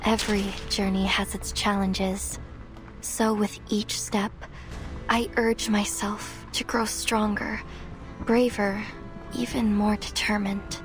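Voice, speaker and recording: A woman voicing a determined, wistful young explorer in a video game, speaking in a measured, reflective and earnest way. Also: medium pitch, flat delivery. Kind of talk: scripted game character voice lines. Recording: music behind the voice.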